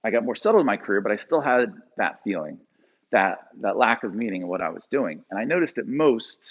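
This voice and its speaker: A man in his forties from Pennsylvania, telling a personal story to a live audience with animated delivery and frequent fillers. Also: fairly low pitch, brisk, husky, lo-fi sound. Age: 40s.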